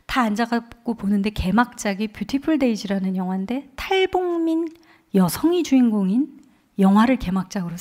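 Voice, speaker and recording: A woman in her forties speaks from a church platform, sharing an earnest testimony. Her voice sits at an ordinary middle pitch. She tells her story with quiet conviction through a microphone. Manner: earnest storytelling, quoting others with lively emotion. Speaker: guest speaker at a church revival. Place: church sanctuary.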